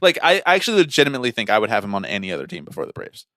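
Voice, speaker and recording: A man's voice: fairly low pitch, wildly swooping pitch, very fast, bright, forceful tone, no echo, clean studio sound. A man co-hosting a podcast in casual sports chat, blunt and opinionated, prone to swearing. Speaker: podcast co-host.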